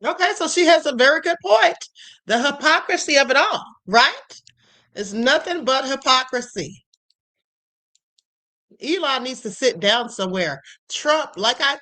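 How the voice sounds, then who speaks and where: A woman's voice: fairly high pitch, pushed and bright. A woman hosting a livestream, giving political commentary in a sassy, emphatic way full of "okay" and "honey". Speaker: livestream host.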